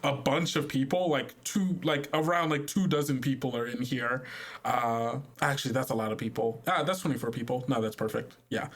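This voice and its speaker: Male game master: average pitch, casual improvised narration, amused, rambling, repetitive. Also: normal speed.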